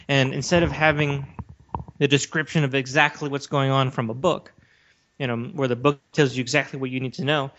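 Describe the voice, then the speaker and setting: A man's voice: mid-range voice, level tone, a little fast, fairly bright tone. A man co-hosting a podcast, explaining his opinions in casual, argumentative discussion. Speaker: podcast co-host. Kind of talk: casual discussion of video games. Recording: dull, lo-fi voice recording.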